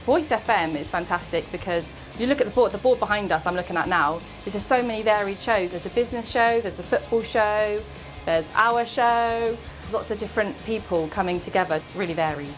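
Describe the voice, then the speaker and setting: A woman's voice: medium pitch, a little fast, rough recording. Enthusiastic woman over faint music. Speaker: community radio presenter. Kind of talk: documentary interview.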